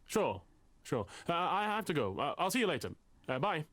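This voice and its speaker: A man's voice: on the high side, big pitch swings, moderate pace, fairly bright tone. An actor playing a nervous, self-pitying lonely man in a visual novel, speaking formally and hesitantly with fussy, wordy phrasing.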